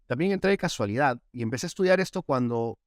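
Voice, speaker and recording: A man's voice: mid-range voice, animated, quick pace, dry recording, clean studio sound. A man with a Peruvian accent talking casually as an interview guest, often adding little tag questions. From Peru. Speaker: podcast guest, AI executive.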